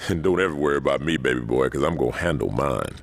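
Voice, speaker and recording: Gravelly, cocky gang boss. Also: male voice, very deep voice. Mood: cool. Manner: slow, menacing, dry and unbothered. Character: hardened older male gang boss, calm and cocky. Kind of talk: video game cutscene acting.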